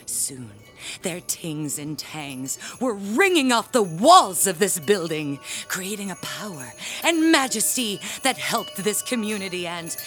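An actress playing a proud, pompous handbell ringer, telling family lore in grandiose audio drama style. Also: female voice, mid-range voice, very animated, a little slow, faint music behind. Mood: grandiose reverence. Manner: grandiose, theatrical storytelling.

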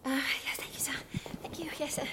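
A woman's voice: a little fast, whispered, close to an everyday voice. An actress playing a timid, deferential peasant woman in a medieval audio drama, stammering nervously. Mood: flustered, servile. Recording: long reverberant hall.

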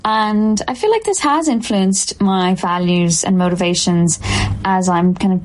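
Woman, Irish accent, from Dublin, medium pitch, reflective interview talk, earnest, informal phrasing. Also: unhurried, darkish tone. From Dublin, Ireland. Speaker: art curator, interviewee. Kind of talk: reflective first-person profile talk.

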